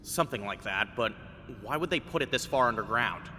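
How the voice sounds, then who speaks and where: Guy voicing a tired skeptical doc, bright voice, echoey hall. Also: mid-range voice, expressive, brisk, slight background noise. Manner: dry and doubtful. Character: weary, skeptical male doctor. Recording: long reverb.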